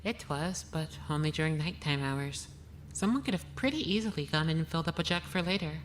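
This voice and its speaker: A woman playing a composed, analytical young woman, speaking in a measured, matter-of-fact way. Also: low voice, lively intonation, slight background noise. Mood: calm, analytical. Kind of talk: animated murder-mystery voice acting.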